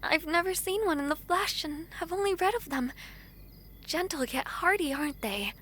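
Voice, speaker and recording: A woman playing a gentle, affectionate princess in a romantic fantasy audio drama, with formal, tender, courtly phrasing. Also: extremely high pitch, lively intonation, moderate pace. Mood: delighted, grateful. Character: gentle, affectionate foreign princess, gracious and wistful.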